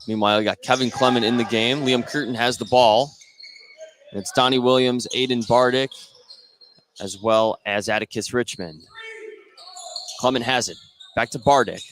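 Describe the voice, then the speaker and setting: A man's voice: medium pitch, fairly even intonation, moderate pace, long pauses, a bit bright. An American man doing live play-by-play radio commentary of a basketball game. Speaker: play-by-play announcer. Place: indoor high school basketball gym. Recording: live gym noise behind the voice. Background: gym ambience, bouncing basketball.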